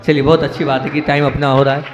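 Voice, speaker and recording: A male Jain monk delivering a sermon to a gathered audience, asking rhetorical questions and repeating phrases for emphasis, swinging between raised emphatic lines and quiet asides. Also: fairly high pitch, flat delivery, very fast, muffled recording, over a noisy crowd. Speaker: Jain monk giving a discourse. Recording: echoey hall with long reverb.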